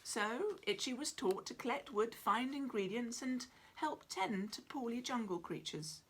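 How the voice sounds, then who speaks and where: Slow, lively woman reading a storybook. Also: on the high side, slightly rough. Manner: dramatic, deliberate. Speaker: author reading own picture book. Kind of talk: expressive children's storybook narration. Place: small cluttered room at home, indoors.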